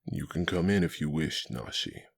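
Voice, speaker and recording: A performer voicing a giant, solemn male cat-warrior in an audio drama, low and hushed, speaking gravely in few words. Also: animated, full character voice. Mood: grave, gentle. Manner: slow, terse, grave. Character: giant gentle solemn cat-man warrior, protective and sorrowful.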